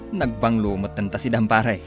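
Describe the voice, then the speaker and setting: A man's voice: on the low side, steady tone, normal speed, over music, close to an everyday voice. A man acting a jovial, teasing buddy in a radio drama, talking in a bantering way.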